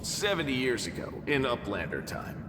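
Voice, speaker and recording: A man's voice: mid-range voice, sing-song, normal speed, background noise, close to an everyday voice. An actor playing a dry, irreverent tribesman companion in a fantasy video game, in a reverberant space. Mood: wry. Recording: hall-like reverb under music.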